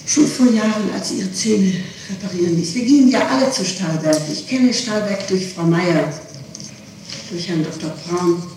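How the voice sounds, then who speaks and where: A middle-aged woman answering questions in a police interrogation, halting and evasive as she searches for words, on an old 1960s tape. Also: mid-range voice, darkish tone. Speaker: witness being questioned. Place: reverberant room, far from microphone. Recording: noisy, echoing 1960s interrogation tape, distant.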